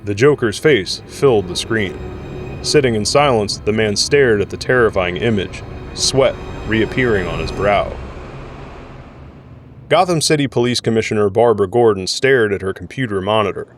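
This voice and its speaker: A man's voice: lowish voice, normal speed, soft background music. A man narrating a scripted audio drama in the role of storyteller.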